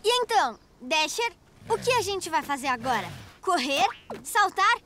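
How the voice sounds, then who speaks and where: A Brazilian woman voicing an eager, friendly young boy motorbike in a cartoon dub, excitable and expressive. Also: very high voice, moderate pace, full character voice. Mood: eager, cheerful. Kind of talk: cartoon dubbing. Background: music.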